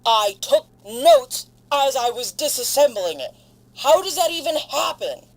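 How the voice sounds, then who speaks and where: A woman playing a frightened, foul-mouthed stranded spaceship crew member, recording halting, weary log entries in an audio drama. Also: high voice, very animated, full character voice, poor audio. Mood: frustrated, angry.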